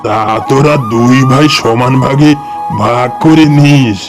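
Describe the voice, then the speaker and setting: A man voicing a dying old farmer father in a Bengali cartoon, gentle, weary and pleading. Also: mid-range voice, slow pace, music in the background, close to an everyday voice. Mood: weary, tender.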